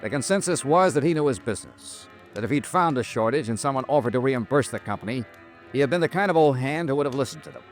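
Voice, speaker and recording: A man's voice: medium pitch, animated, quick pace, soft background music, lightly acted. A man with an American accent playing a tough, dogged insurance investigator in a 1950s-style radio detective drama, terse and confrontational. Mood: brooding. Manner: terse, hard-boiled, confrontational. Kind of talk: 1950s radio detective drama acting, with first-person narration.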